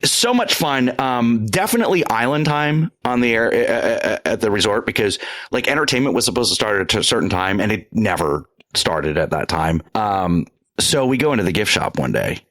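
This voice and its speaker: A man co-hosting a casual podcast, telling travel stories in an animated way with lots of restarts and laughter. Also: lowish voice, normal speed. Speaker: podcast co-host.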